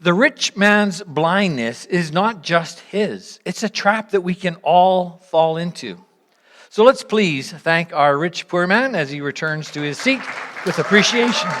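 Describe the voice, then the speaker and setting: Woman preaching in a measured, earnest manner. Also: lowish voice, animated, a faint crowd. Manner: measured, earnest, with rhetorical questions and pauses. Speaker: church speaker leading an interactive service. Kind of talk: sermon, reflecting on a parable and addressing the congregation.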